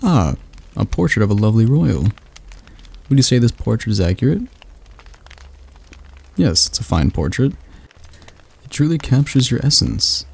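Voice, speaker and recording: A man voicing a patient demon magic tutor in an ASMR roleplay, talking slowly and softly as he coaxes his student through a spell. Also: fairly low pitch, gravelly, breathy edge, full character voice. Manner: slow, soft, coaxing instruction.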